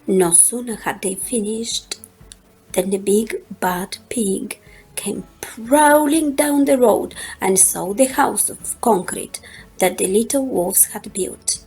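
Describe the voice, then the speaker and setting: A woman storyteller reading a picture book aloud to children, expressive, with deliberate dramatic pauses. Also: mid-range voice, husky, faint music behind, poor audio.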